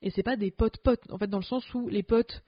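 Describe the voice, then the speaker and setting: A young woman co-hosting a podcast, chatting casually in a colloquial, animated way with lots of fillers. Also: a little high, brisk, no echo. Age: young adult. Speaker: podcast co-host. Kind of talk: casual chat. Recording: dull lo-fi dry sound.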